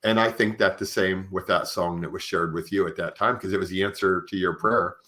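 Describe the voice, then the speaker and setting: Deep-voiced, bright-toned male podcast host. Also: steady tone, brisk. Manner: informal, often tags phrases with "you know". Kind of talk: conversational interview.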